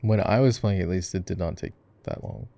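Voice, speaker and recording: A man's voice: low-pitched, fairly quick, creaky, mellow, dry recording. A man playing an easygoing, affectionate gamer boyfriend in a casual ASMR roleplay, rambling and musing aloud as he guides his partner through a game. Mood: relaxed, instructive. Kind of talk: casual ASMR roleplay chat while gaming.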